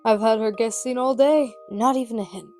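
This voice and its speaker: A woman voicing a gentle, teasing heroic young husband and seasoned adventurer in an amateur fan dub. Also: on the high side, normal speed, soft background music, close to an everyday voice. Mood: measured, confiding.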